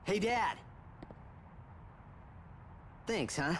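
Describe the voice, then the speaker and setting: A man's voice: high voice, lively intonation, a little slow, fairly bright tone, a little hiss or hum. An actor playing an earnest, emotional young man in a video game. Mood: fond. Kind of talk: video game cutscene acting.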